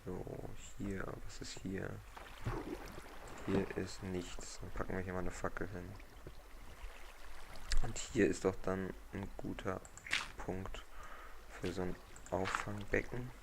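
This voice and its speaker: A man with a cold, hoarse, giving relaxed, rambling commentary while he plays a game. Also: low-pitched, slow, long pauses. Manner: relaxed, rambling, thinks aloud. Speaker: gaming channel host. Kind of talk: casual let's-play commentary. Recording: noisy home mic recording.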